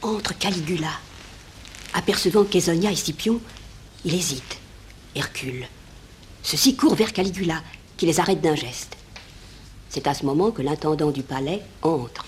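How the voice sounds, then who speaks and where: Low-voiced woman narrating. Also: moderate pace, pauses often. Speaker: narrator of stage directions. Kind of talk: scripted radio play narration. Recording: old radio recording, faint noise.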